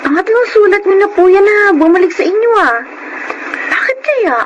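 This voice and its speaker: A woman's voice: very high voice, animated, normal speed, muffled recording. A woman acting a respectful, eager young daughter in a Filipino radio drama. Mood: concerned, eager. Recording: noisy radio-drama broadcast.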